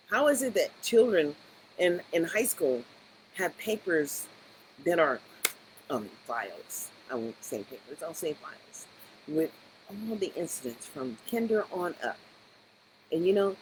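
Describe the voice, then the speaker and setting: Older woman in her sixties, low and animated, digressive advocate monologue with faint insect noise behind. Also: rough recording. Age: 60s. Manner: conversational, digressive, emphatic rhetorical questions. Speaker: education advocate. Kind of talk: rambling personal commentary. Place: Las Vegas area.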